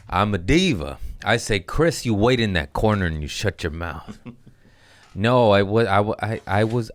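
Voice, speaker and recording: A man's voice: on the low side, dry recording. A man, a comedian hosting his podcast, talking in a casual, crude, rambling way and breaking off mid-sentence to restart. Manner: crude, rambling, jokes at his own expense. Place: podcast studio.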